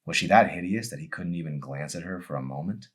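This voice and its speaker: A man with a low voice presents and reads his own fiction in an audiobook manner. His phrasing is deliberate and dramatic. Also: breathy edge. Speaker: author reading own novel. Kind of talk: audiobook-style narration with casual introduction.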